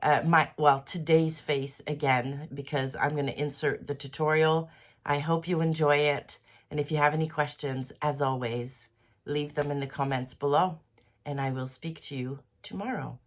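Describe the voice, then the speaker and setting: Woman, low voice, congested, head cold, chatty, rambling, soft-spoken vlogger. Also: steady tone, normal speed. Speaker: quilting vlogger. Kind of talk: casual daily vlog chat.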